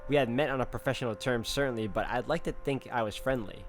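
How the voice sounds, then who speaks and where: A man's voice: mid-range voice, quick pace, slight background noise. A man hosting a game walkthrough, reading story text aloud and adding casual comments. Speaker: gaming video host.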